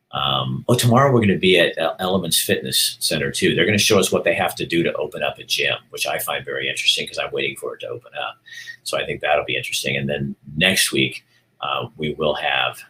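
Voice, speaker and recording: A middle-aged man with a very low voice talks loosely to his viewers, rambling and thinking out loud. His tone is bright and forceful despite the depth, and he keeps it candid and off the cuff. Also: poor audio. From Oregon. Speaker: show host. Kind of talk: casual live-stream talk to viewers.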